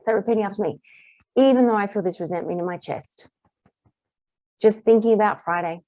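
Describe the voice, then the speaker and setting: Calm, warm-voiced woman guiding a tapping lesson. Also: mid-range voice, no echo. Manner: calm, repetitive guiding phrases. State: slightly hoarse, clearing throat. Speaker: EFT tapping practitioner. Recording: dull, muffled dry recording.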